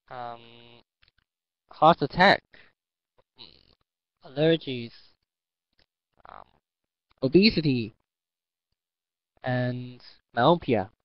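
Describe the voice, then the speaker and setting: A man from Hong Kong, a student giving careful, measured answers in class. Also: mid-range voice, no room sound, lo-fi sound.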